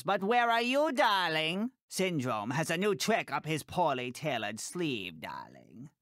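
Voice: medium pitch, sharp tone, dry recording, clean studio sound. A performer voicing a tiny, imperious older woman fashion designer in a video game, theatrical and bossy, calling everyone darling. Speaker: mission giver.

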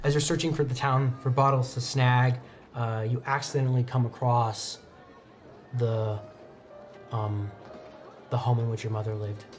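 A man in his twenties narrating a tabletop roleplaying game as game master, conversational and halting, pausing mid-sentence. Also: medium pitch, little pitch movement. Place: indoor studio set at a game table. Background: background music.

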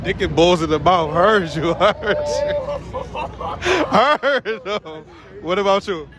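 A man's voice: high voice, expressive, slow. Brash male street interviewer, noisy crowd. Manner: brash, laughing, repeats "hold on" and "wait". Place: busy city square at night, outdoors. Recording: loud crowd and street noise.

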